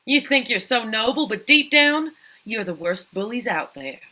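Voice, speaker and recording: A woman's voice: fairly high pitch, animated, normal speed, sharp tone. A woman voicing a scrappy mother rat in a comedy cartoon script, combative and sarcastic with folksy, slangy speech. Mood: scornful. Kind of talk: animated comedy table read.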